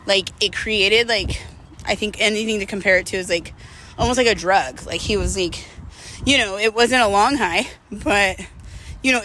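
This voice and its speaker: Woman, thirties, bright tone, slangy confessional chat, light ambient noise behind her. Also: mid-range voice. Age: 30s. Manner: candid, rambling, slangy. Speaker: vlogger. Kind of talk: casual confessional walk-and-talk vlog.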